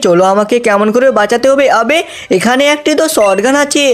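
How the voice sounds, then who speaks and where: A man's voice: very high voice, a little fast. A young man, dramatically narrating a cartoon story and talking to viewers. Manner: dramatic, addresses viewers as guys. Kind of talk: excited cartoon game narration.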